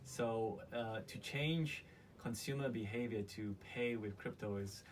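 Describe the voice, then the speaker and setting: A man from Malaysia speaking as a guest in a casual interview, earnest and halting in his delivery. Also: lowish voice. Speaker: interview guest, company founder. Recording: distant mic, faint background noise.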